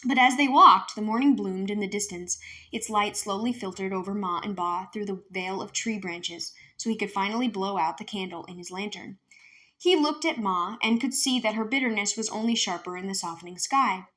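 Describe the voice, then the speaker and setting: A woman's voice: mid-range voice, normal speed, pushed and bright. A woman reading a children's novel aloud as a storyteller, narrating from the page. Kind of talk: scripted children's book narration.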